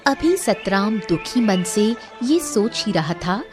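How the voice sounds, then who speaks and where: A woman's voice: medium pitch, big pitch swings, slow pace. A woman reading scripted narration for a children's moral story. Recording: clear background music. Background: music.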